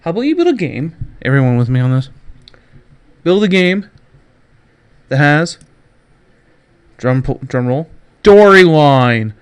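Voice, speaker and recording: A man's voice: a little high, sing-song, a little slow, long pauses, clean tone. A man hosting a podcast, giving casual, opinionated gaming news commentary with mocking asides and swearing. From Canada. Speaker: podcast host.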